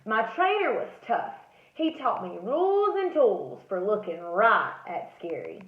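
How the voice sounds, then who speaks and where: A woman's voice: big pitch swings, measured pace. A woman voicing a once-terrified, plucky male bookmark in a theatrical, dramatic picture-book read-aloud. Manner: theatrical, rising from hushed suspense to high cries. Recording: roomy echo, slightly dull lo-fi sound.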